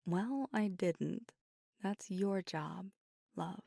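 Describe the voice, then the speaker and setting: A woman voicing a weary, guarded but tender young werewolf girlfriend in an intimate ASMR roleplay, speaking in a breathy, husky, halting hush. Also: mid-range voice, dry recording, studio quality, exaggerated voice. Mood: self-deprecating, deflecting. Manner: hushed, halting, confiding.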